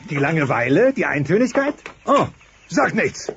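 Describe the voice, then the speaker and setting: High-pitched blustering grumpy dinosaur dad. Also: male voice, big pitch swings, normal speed, vocal fry. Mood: irritated. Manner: irritable, blustering, dismissive. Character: grumpy, blustering dinosaur father, stubborn and loud. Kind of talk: comic cartoon audio play acting. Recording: muffled old cassette, faint noise.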